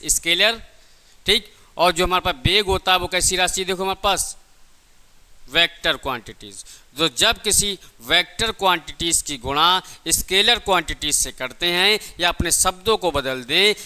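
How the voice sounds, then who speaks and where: A male physics teacher gives an exam-prep lesson in a very bright, crisp voice. He explains step by step with plenty of repetition. He poses short questions and then answers them himself. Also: fairly high pitch.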